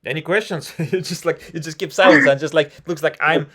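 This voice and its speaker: A man's voice: a little high, brisk, a loud crowd. A man from Russia speaking English with a Russian accent, as a non-native speaker chatting casually on a video call, frank and rambling with many fillers. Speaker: civil engineer. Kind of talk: casual conversation practice.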